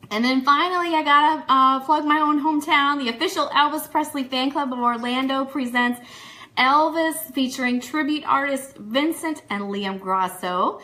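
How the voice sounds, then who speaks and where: A woman's voice: high voice, normal speed, sharp tone. A woman hosting a live online radio show, chatting through fan news and announcements in an upbeat, enthusiastic way that speaks straight to listeners. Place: studio.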